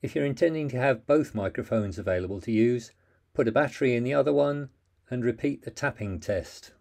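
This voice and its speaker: A man's voice: mid-range voice, a little fast, creaky, darkish tone. A man narrating a plain, methodical how-to voice-over, giving step-by-step instructions with the odd small slip.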